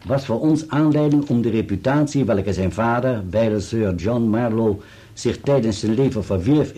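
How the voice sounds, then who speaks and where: An actor playing a precise, formal solicitor in a radio play, with an articulate delivery. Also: male voice, mid-range voice, mellow, slightly rough. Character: dutiful male solicitor reading an article aloud, precise and formal.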